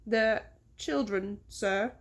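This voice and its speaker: A woman's voice: medium pitch, extremely slow. A woman voicing a tiny, obedient male chauffeur in a storybook, deferential and terse. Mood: meek, obedient.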